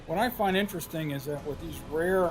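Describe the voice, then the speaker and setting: A slightly hoarse man with a somewhat higher voice talks casually through a game walkthrough. He thinks out loud, pausing on fillers as he goes. Game music plays clearly behind him. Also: poor audio. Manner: relaxed, thinking aloud with frequent "uh" fillers. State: slightly hoarse, scratchy throat. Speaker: gameplay commentator. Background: game music and gunfire.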